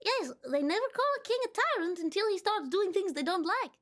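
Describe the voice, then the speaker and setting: A woman's voice: extremely high pitch, very animated, a little fast, fairly bright tone, voice pushed up, full character voice. A woman playing a haughty young princess in a roleplay game, speaking in a lofty, declaiming way.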